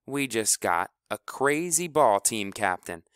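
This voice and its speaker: A man voicing an angry boy bully who shouts accusations in rough, heated speech. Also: lowish voice, lively intonation, brisk, no echo, studio quality. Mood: angry, accusing.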